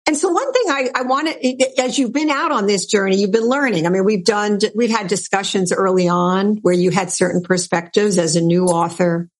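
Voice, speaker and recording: A woman hosts a casual interview, her voice middle in pitch. She asks long, meandering questions and restarts them often as she thinks aloud. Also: moderate pace, hardly any pauses. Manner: chatty, rambling questions with laughs. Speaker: podcast host.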